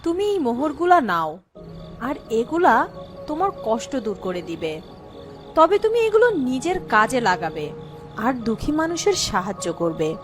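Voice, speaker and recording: A woman's voice: high voice, very animated, moderate pace, music in the background. A woman voicing a kindly but stern fairy in a cartoon fable, solemn in manner. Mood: gentle, reassuring.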